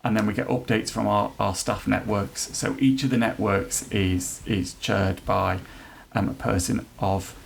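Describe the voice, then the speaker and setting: A man who leads an NHS trust's inclusion work, answering podcast questions in an earnest, halting way with many restarts. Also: lowish voice, steady tone, vocal fry, a little hiss or hum. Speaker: NHS trust leader chairing an inclusion council.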